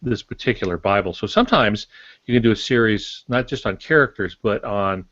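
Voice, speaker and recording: Low-voiced older man co-hosting a podcast. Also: a little fast, fairly bright tone, dry recording, lo-fi sound. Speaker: podcast co-host. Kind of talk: casual explanatory podcast talk.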